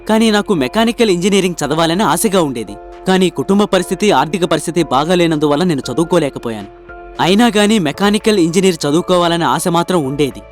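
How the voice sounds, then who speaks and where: A man's voice: on the high side, natural voice. A man voicing an earnest, hopeful young inventor in a cartoon moral story, explaining himself sincerely.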